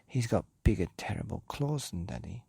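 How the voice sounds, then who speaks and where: A man voicing the Gruffalo's child, a small, anxious young monster boy who talks eagerly and fretfully. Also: lowish voice, lively intonation, normal speed, dark tone, dry recording, clean studio sound, full character voice. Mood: worried.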